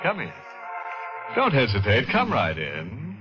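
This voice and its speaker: A man hosting a 1940s radio horror show, speaking in a sly, gloating, drawn-out way with chuckles. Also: mid-range voice, wildly swooping pitch. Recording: muffled old radio broadcast with music.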